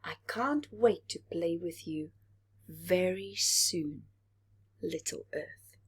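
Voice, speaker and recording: A woman's voice: fairly low pitch, takes it slowly, slightly rough, heavily acted. A woman voicing an eager, affectionate little planet boy in a children's storybook, speaking earnestly. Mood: grateful, tender.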